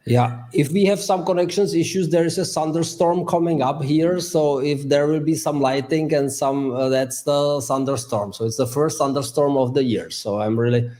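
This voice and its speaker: A man's voice: medium pitch, warm tone. A man hosting a live online interview as a career consultant, speaking in slightly phrasing over a video call. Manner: halting, slightly non-native phrasing. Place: home or office in Switzerland.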